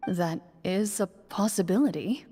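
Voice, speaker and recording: A woman playing a composed, formal and stoic young duelist in a video game, speaking in a measured, reserved way. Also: medium pitch, dark tone, in a large hall, soft background music, lightly acted. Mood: reserved, calm.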